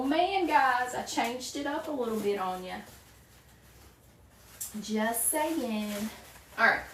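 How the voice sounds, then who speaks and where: A woman's voice: a little high, fairly bright tone, roomy sound, recorded from a distance, slight background noise. A woman in her forties from a small town in Virginia, with a Southern accent, chatting casually with livestream viewers while crafting and greeting them by name. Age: 40s. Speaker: wreath-making livestream host.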